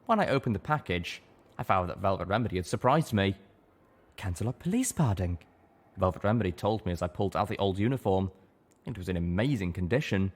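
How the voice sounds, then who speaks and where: Low-voiced man narrating, very lively. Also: fast. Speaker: audiobook narrator. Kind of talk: expressive scripted narration.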